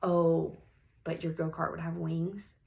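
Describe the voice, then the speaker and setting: A woman reading a picture book aloud in an encouraging, teacherly manner, pausing to put questions to young listeners. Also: sits low, flat delivery, vocal fry, darkish tone. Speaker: read-aloud host, teacher-like reader.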